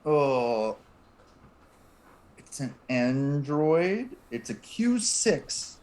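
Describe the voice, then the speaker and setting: A man's voice: fairly high pitch, big pitch swings, very slow, darkish tone. A man chatting casually as a guest on a panel talk show. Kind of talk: casual chat.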